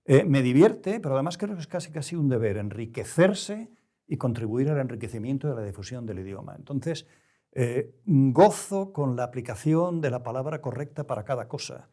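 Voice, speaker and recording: Interview guest: older male, European Spanish accent, dark relaxed timbre, deliberate, erudite, searching for the exact word. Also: mid-range voice. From Spain. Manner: deliberate, halting, with erudite careful word choice. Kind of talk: reflective interview conversation.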